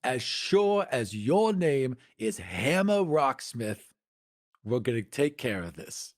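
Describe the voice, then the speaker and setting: A man's voice: medium pitch, very animated, slow. A man voicing a confident, chummy gnome professional reassuring a client in a tabletop game.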